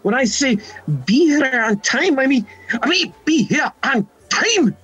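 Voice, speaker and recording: An actor playing a fussy French nightclub manager in an amateur radio play, stammering and exasperated. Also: male voice, very high voice, expressive, unhurried, a bit bright, slightly rough, dull audio, full character voice.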